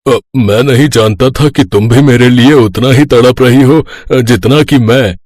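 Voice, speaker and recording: A man's voice: mid-range voice, unhurried, a little mellow, dry recording, natural voice. A man playing a lecherous, scheming middle-aged father-in-law in a cartoon story, hesitant and wheedling. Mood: lustful. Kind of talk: Hindi moral-story cartoon acting.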